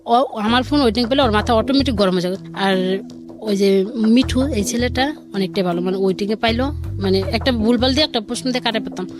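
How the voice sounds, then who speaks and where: A woman's voice: a little high, fast talker. A woman guest telling a personal story informally, rambling and quoting dialogue as she goes. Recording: music bed under the voice. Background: background music.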